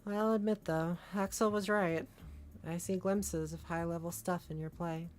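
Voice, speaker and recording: A woman's voice: lowish voice, steady tone, measured pace, a little hiss or hum, natural voice. A woman voicing a blunt, bossy and demanding female esports teammate in a visual novel. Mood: blunt criticism. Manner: blunt, commanding.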